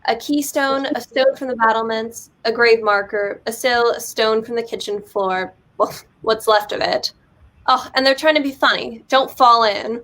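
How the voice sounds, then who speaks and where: A woman's voice: a little high, moderate pace, a bit bright, natural voice. A woman playing a guarded but fun eighteen-year-old girl in a script reading, with an American accent. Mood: guarded, dry. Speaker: actor in a play reading.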